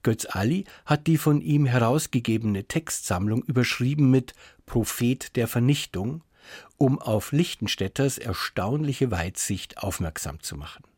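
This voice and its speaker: A man reading a scripted radio introduction as an announcer, in a measured, formal and informative manner. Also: mid-range voice, darkish tone, husky, no room sound, studio quality.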